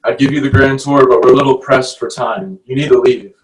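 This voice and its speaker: A man's voice: mid-range voice, brisk, distant mic, close to an everyday voice. A man playing a laid-back, sarcastic sixteen-year-old merman in a casual, teasing way at a screenplay table read. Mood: amused, casual. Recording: echoey, off-mic, faint noise.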